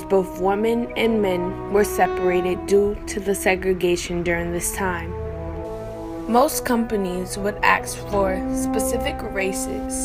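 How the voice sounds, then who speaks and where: Woman reading scripted narration over music. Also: mid-range voice. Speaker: narrator of a student history project. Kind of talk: scripted narration, read aloud.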